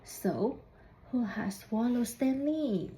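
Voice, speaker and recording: Female storybook reader, higher-pitched and soft-toned, very slow and halting, faint noise behind. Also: lively intonation, poor audio. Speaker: student reading a picture book aloud. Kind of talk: storybook read-aloud with questions to young listeners.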